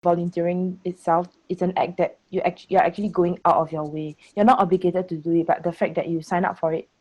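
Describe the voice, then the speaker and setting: A young Singaporean woman speaks in a fairly low, mellow voice. She sets out her opinions in a reasoned, articulate way, as part of a conversational panel. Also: little pitch movement, fast talker, poor audio. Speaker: first-time voter on a discussion panel. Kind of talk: conversational group discussion.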